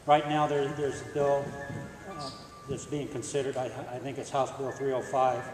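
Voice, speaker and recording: A man from the audience asking a political candidate a question, halting and repeating words as he goes. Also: medium pitch, flat delivery, over music. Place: large school gymnasium. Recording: echoey gym from far off.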